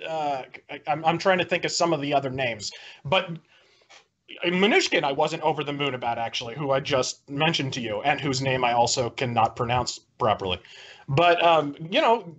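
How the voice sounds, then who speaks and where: High-voiced man arguing, dull audio. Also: fairly bright tone. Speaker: debate guest. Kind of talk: heated political debate.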